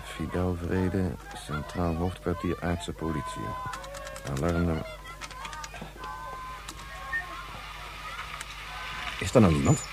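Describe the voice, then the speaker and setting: A man's voice: low-pitched, steady tone, moderate pace, lightly acted. A man playing a worried, hesitant father in a Dutch science-fiction radio play, urgently asking for news. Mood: tense, searching. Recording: noisy radio drama recording.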